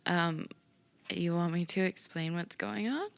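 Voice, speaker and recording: A woman playing a tabletop game, explaining events in character in a halting, explanatory manner. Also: fairly low pitch, vocal fry, no echo.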